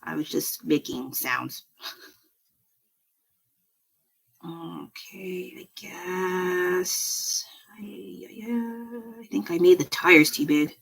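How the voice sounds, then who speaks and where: A woman with a low voice, chatting casually at her home desk while drawing on a livestream, rambling and poking fun at herself with frequent laughs. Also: drawn-out words, vocal fry, pauses often, breathy edge. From United States. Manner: rambling, self-deprecating, laughs often. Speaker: livestreaming artist.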